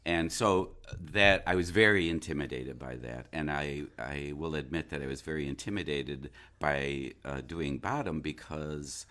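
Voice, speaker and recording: A man talking reflectively as an interview guest, an actor who pauses and searches thoughtfully for his words. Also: very deep voice, sharp tone. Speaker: interview guest, stage and radio-play actor.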